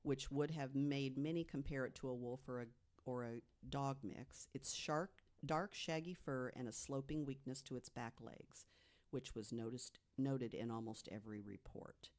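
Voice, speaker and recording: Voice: mid-range voice, normal speed, a little mellow. A podcast panelist reading prepared mystery stories aloud, with conversational asides.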